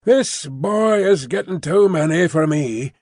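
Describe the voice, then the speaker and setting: Man voicing middle-aged father, working-class, gruff, bad-tempered, blunt ungrammatical scolding, storybook character, close dry sound. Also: on the high side, expressive, slow, darkish tone, no room sound, heavily acted. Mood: irritated scolding.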